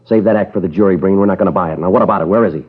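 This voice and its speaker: An actor playing a terse, hard-boiled male police sergeant who narrates a 1950s radio crime drama in a clipped, flat, matter-of-fact way. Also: sits low, rapid-fire, breathy edge. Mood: stern. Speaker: detective narrator. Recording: tinny narrow-band old radio transcription with music.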